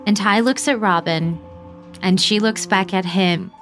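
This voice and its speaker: An actress playing a curious, earnest American podcaster, telling and probing a story in documentary style. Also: female voice, medium pitch, a little slow, a bit bright, faint music behind, natural voice. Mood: measured, intrigued. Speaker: narrator and interviewer. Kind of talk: documentary-style audio drama narration.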